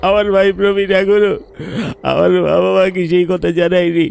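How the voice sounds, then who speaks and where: A man's voice: sits high, level tone, moderate pace, vocal fry, darkish tone, a little hiss or hum. A man voicing the vengeful ghost of a murdered village teacher, menacing and bitter in a cartoon. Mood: bitter accusing. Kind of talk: cartoon voice acting.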